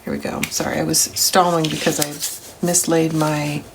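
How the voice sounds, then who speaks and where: A middle-aged woman, a little gravelly from grogginess, hosting a papercraft tutorial in a chatty, step-by-step way. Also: sits low, little pitch movement, normal speed, background noise. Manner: chatty, step-by-step, self-correcting. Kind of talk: crafting tutorial voice-over.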